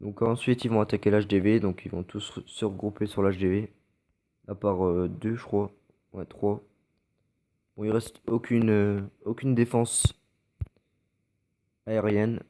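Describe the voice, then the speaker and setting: French man, low mellow voice, congested, halting casual game commentary. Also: steady tone. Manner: hesitant, many fillers and restarts. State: stuffy from a cold. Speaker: gameplay commentator. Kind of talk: casual gameplay commentary over recorded matches.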